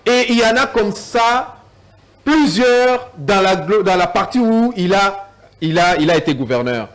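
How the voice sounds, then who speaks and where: A man's voice: sits high, animated, a little slow. A man with a Congolese French accent, a guest in a TV political debate, mixing French with Lingala and stumbling over repeated words.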